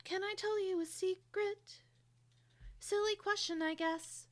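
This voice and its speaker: A woman's voice: extremely high pitch, steady tone, unhurried. A woman playing an awkward, earnest teenage girl who confides in musical theatre song. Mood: confiding, yearning.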